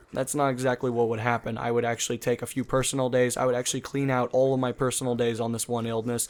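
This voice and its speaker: A man's voice: medium pitch, darkish tone. A young man who hosts a gaming channel and talks casually over gameplay. He rambles in a chatty way, full of fillers.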